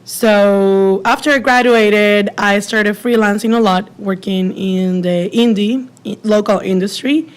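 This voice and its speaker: A young woman with a Venezuelan Spanish accent, answering interview questions in a relaxed, chatty way with frequent fillers. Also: mid-range voice, a little slow, few pauses. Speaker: filmmaker guest.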